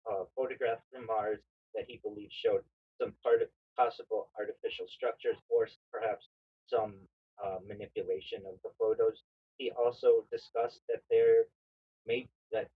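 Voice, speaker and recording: A man streaming a casual explainer monologue, halting with frequent fillers and restarts. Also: on the low side, slightly rough, highs cut off. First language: not Hebrew.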